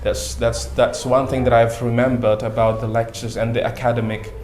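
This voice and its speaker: A man who speaks natively, a guest in a casual interview chat, talking haltingly in English with lots of fillers and repeated words. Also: mid-range voice, little pitch movement, a little mellow. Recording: echoey room.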